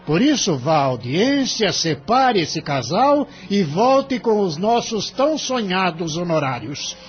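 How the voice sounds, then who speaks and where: A shrewd, condescending law-firm boss in a radio play, acted by a man. His voice sits a bit high and moves around a lot in pitch as he slowly doles out advice. Music plays behind the slightly muffled recording. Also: big pitch swings. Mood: smug, encouraging. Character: shrewd, patronising senior lawyer and boss of a young man. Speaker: voice actor in an audio drama. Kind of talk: audio drama acting. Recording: dull lo-fi audio.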